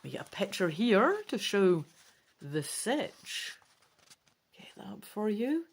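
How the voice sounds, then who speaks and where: A woman's voice: medium pitch, big pitch swings, slow, vocal fry, slightly rough. A woman presenting a gamebook playthrough, switching between theatrical reading and chatty casual commentary. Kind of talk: dramatic reading aloud mixed with casual commentary.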